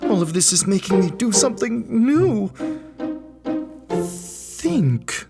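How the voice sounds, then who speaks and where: A woman's voice: mid-range voice, sing-song, takes it slowly. A woman playing a formal, regretful royal in a musical audio drama, stately and earnest. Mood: hopeful. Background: guitar and musical underscore.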